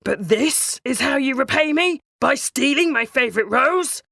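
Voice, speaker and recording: A woman's voice: high voice, lively intonation, normal speed, creaky, a bit bright, no echo, voice pushed up, full character voice. A woman voicing a huge, stern beast with a solemn, commanding and sorrowful manner in a children's story. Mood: angry, menacing.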